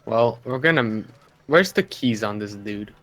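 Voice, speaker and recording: A man's voice: lowish voice, lively intonation, a little slow, a bit bright. A man playing a tabletop roleplaying game, talking casually with the group.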